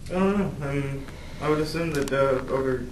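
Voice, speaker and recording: A man's voice: mid-range voice, brisk, background noise. A man in a focus group, hedging his points with lots of fillers. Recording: roomy, distant room mic.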